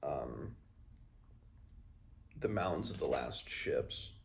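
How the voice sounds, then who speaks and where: A man hosting a read-along, reading a fantasy novel aloud and adding informal recap, measured in the reading and hesitant in his comments. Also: extremely low pitch, lively intonation, vocal fry, a little hiss or hum. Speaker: book read-along host.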